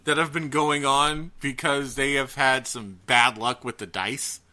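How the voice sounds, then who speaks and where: A man with a bright, forward voice and an ordinary mid pitch. He talks as a podcast co-host in casual chat, halting and chatty as he finds his way through a thought. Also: steady tone.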